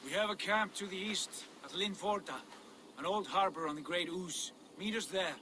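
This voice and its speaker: An actor playing a proud, secretive Norse jarl in a video game, commanding and insistent. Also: male voice, sits high, moderate pace, sharp tone, slight background noise. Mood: solemn.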